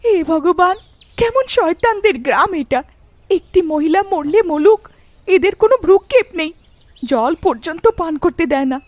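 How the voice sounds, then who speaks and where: A woman's voice: very high voice, lively intonation, moderate pace, no echo, voice pushed up. A woman voicing a distressed, thirsty outcast village woman in a cartoon, lamenting plaintively. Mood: anguished, faint.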